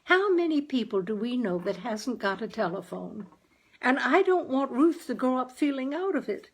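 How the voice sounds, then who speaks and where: A folksy, practical New England mother from a storybook, acted by a woman reader. She is chatty and earnest, with a husky voice that swings up and down in lively fashion. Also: high voice, moderate pace, pitched above the performer's own voice, full character voice, poor audio. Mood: earnest insistence. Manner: folksy rural turns of phrase. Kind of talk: audiobook character dialogue.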